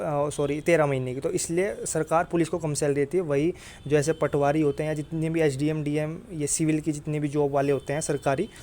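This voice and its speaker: Blunt North Indian man, slightly high voice. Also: darkish tone. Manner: blunt, insistent, repeats points with check-in tags. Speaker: exam coaching YouTuber. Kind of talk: informal explainer, addressing viewers directly.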